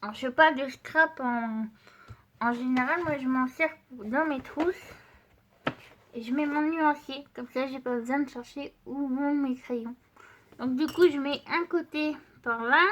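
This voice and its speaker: A woman with a high voice, stuffy and congested from a cold, talks in a casual, chatty way straight to her viewers. She rambles a bit with frequent fillers while handling craft supplies, and a faint hiss sits under the recording. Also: a little slow. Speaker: art channel host. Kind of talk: casual craft haul and paper test.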